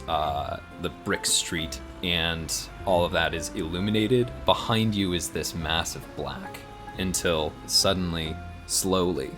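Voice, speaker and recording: A man casually narrating improvised scenes in a tabletop roleplaying game, in a halting way. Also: sits low.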